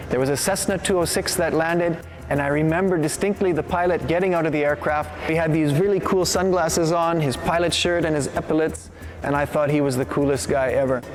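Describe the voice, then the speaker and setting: Enthusiastic male pilot raised in Tanzania, higher pitch, music clearly under the voice. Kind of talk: documentary interview.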